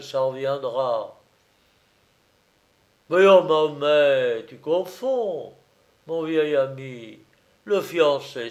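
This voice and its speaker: A man voicing a blustering old Napoleonic drum-major in a storybook reading, booming and bombastic in colloquial soldier's talk. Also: darkish tone. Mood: hearty, dismissive.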